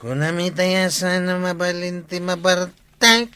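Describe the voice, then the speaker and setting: A man's voice: high voice, little pitch movement, measured pace, sharp tone, smooth, no echo. A man with an Ilocano accent playing a tipsy villager in a comedy drama, drawling his words.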